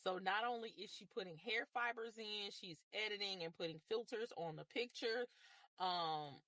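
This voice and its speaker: A woman from the United States recounting a complaint as a measured personal story. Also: medium pitch, fairly bright tone, dry recording, poor audio. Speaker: customer telling a salon story.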